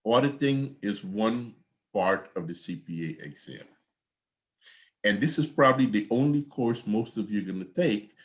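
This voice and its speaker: Older man, low voice, professor lecturing casually, video call from home. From Guyana. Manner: conversational, repetitive, digressive. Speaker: accounting professor. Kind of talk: online class lecture.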